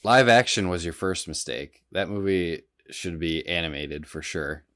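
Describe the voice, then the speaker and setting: A man's voice: deep voice, unhurried, fairly bright tone, dry recording, clean studio sound. A man co-hosting a podcast, talking casually and bluntly with plenty of swearing. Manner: blunt, profane. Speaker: podcast co-host. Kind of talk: casual chat, opinionated film and game discussion.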